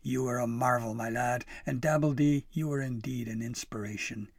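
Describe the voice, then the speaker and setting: A man's voice: mid-range voice, fairly even intonation, normal speed, creaky, no room sound, clean studio sound. A man voicing a jolly, wise old male elf in a storybook, chortling and full of praise. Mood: delighted.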